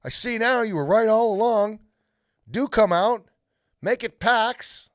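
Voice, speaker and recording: A man voicing a petulant schoolboy in a fantasy storybook, loud and plaintive. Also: high-pitched, unhurried, no echo, studio quality, pitched above the performer's own voice. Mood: pleading shout. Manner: loud, plaintive calling and grumbling.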